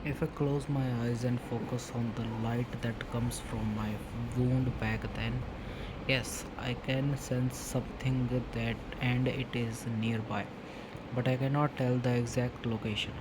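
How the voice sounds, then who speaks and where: Earnest game traveler played by a man: mid pitch, little inflection, subdued and careful, loud noise behind. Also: little pitch movement, a little slow, lightly acted. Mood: focused, uncertain. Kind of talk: video game voice acting.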